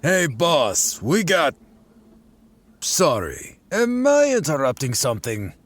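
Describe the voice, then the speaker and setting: A man in his thirties playing a gruff, laid-back armored pilot who talks offhandedly. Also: fairly high pitch, very animated, slow pace, full character voice. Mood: offhand. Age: 30s. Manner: casual, offhand.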